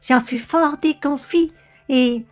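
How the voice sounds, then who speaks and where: Woman acting fussy, anxious, high-voiced devoted acquaintance. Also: slow, soft background music. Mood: fretful, effusive. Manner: florid, old-fashioned formal wording, hesitant. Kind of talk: 1940s-style radio comedy acting.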